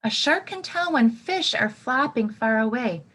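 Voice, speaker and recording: Female teacher for a children's read-aloud: very lively pitch, unhurried delivery, expressive, explains things simply as she goes.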